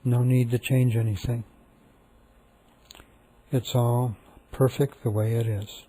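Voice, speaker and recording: Slow, very mellow meditation guide man. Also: mid-range voice, breathy edge. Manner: calm, soft, repetitive with long pauses.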